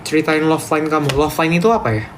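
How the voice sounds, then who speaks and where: Animated young man with Medan accent. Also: fairly high pitch, fast, a little hiss or hum. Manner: animated and emphatic, ranting back at comments. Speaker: livestreamer reading chat. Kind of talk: casual live-stream chat.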